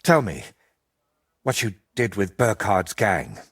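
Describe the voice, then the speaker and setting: A man playing an evasive, eccentric wandering painter in a medieval video game, with a husky, rough-edged voice and a theatrical, riddling way of talking. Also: lowish voice, very animated, slow, exaggerated voice. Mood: weary, hollow. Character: evasive eccentric male wandering painter, wry and world-weary.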